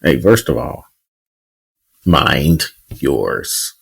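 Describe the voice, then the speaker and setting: A man's voice: rumbling low, big pitch swings, extremely slow, gravelly, a bit bright, breathy edge. A man co-hosting a podcast, chatting casually and teasing his co-host in playful banter. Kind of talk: casual chat.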